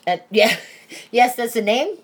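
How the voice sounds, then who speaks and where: A woman's voice: a little high, animated, slow. Chatty woman in her forties hosting. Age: 40s. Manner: chatty, a little irritated, laughs easily. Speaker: podcast host. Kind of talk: casual podcast chat.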